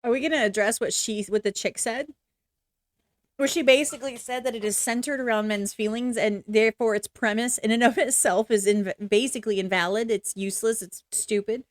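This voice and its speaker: A woman's voice: fairly high pitch, fairly even intonation, fairly quick, no room sound, clean studio sound. A woman reacting to a video with blunt, profane, unscripted opinions and frequent laughter. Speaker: reaction streamer.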